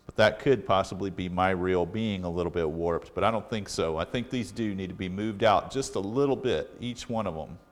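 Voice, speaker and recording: A man talking casually and plainly as he walks through repairing and testing a reel-to-reel deck. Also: low voice. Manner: plainspoken, practical, slightly blunt. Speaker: vintage audio repair technician.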